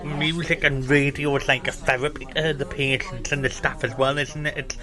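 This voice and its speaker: A man's voice: medium pitch, fairly even intonation, normal speed, bright, forceful tone. A man hosting a hospital radio show, interviewing a guest in an upbeat, enthusiastic way. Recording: crowd chatter in the room. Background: crowd chatter.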